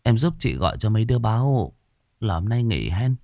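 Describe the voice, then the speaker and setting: A man's voice: fairly low pitch, sing-song, a little fast, mellow, no echo, studio quality. A man voicing a shaken, weary shop owner woman in a horror story, stammering and pleading. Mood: drained, weary.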